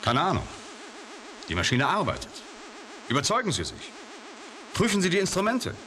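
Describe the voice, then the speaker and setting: A man playing an excitable, defiant computer scientist in a German radio drama, emphatic and dramatic. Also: on the high side, normal speed, faint music behind, exaggerated voice. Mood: defiant, urgent.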